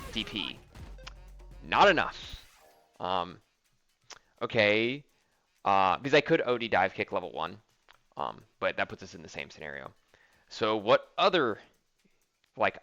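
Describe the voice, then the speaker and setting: A man's voice: on the low side, pushed and bright, no echo, lo-fi sound. A young man casually commenting on replays of his own fighting game match, thinking aloud with lots of ums and likes.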